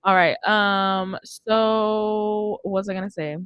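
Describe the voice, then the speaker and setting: A woman's voice: medium pitch, steady tone, slow pace, fairly bright tone, clean tone, dull audio. A young American woman hosting a casual podcast chat from a studio, close on the microphone. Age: young adult. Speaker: radio show host. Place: indoor studio. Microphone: close studio microphone on boom arm.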